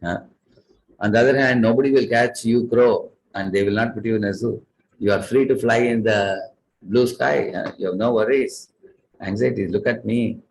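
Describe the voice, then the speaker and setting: A caged peacock speaks earnestly and ruefully about its trapped life in a low voice, as a man with an Indian accent tells the fable. A faint hiss hangs behind it. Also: moderate pace, creaky, a little mellow, rough recording. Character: caged peacock, unhappy and longing for freedom.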